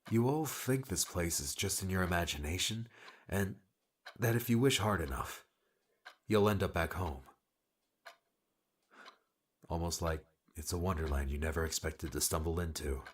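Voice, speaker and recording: Audiobook role: weary, blunt male savior played by a man, very low and raspy, sardonic with a hidden soft spot. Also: moderate pace, long pauses. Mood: apologetic, earnest. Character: weary, brusque male rescuer, frustrated, secretly caring. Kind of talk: dramatic audiobook character dialogue.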